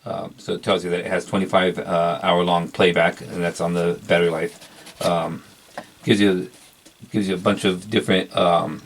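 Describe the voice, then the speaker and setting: A man giving a casual product review in an unhurried, halting show-and-tell way. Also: low voice, little pitch movement, creaky.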